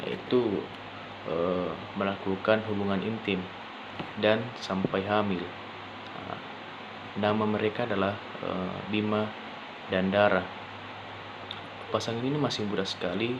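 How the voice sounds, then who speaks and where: A young man giving an informal student presentation, speaking haltingly with frequent pauses and rephrasing. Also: on the low side. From Indonesia. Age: young adult. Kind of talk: informal spoken presentation for a class assignment. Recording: dull lo-fi sound with clear background noise.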